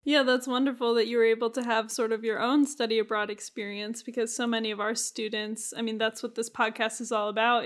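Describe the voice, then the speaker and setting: A young woman with an American accent hosts a podcast, introducing topics and putting questions to a guest. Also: on the high side. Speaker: podcast co-host. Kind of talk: podcast hosting.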